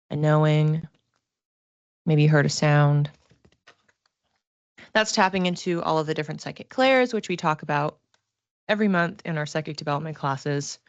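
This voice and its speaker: A woman giving a casual livestream psychic reading, talking to viewers conversationally and thinking aloud in halting phrases. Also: low voice, no echo, dull audio. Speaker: psychic reader and class teacher.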